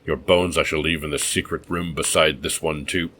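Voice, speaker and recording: Guy doing a deep booming crazed tavern keeper, roaring and laughing wild, storybook villain. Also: steady tone, moderate pace, sharp tone, heavily acted. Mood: manic menace. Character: mad, roaring escaped-prisoner innkeeper man.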